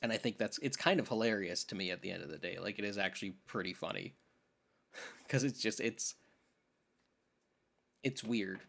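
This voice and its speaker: A man's voice: fairly low pitch, moderate pace, gravelly, pauses often, a bit bright. A young adult man co-hosts a casual film podcast. He speaks in an opinionated, emphatic way, dropping slangy asides as he makes his points in loose conversation. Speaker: podcast co-host. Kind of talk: casual film discussion chat.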